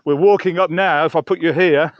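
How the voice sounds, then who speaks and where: A man's voice: a little high, fairly even intonation, a little fast, no room sound, dull audio. A man vlogging casually as he hikes outdoors, rambling and musing aloud in a chatty way. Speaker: vlogger reviewing gear outdoors. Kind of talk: casual narration to camera while hiking. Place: outdoors on a mountain trail.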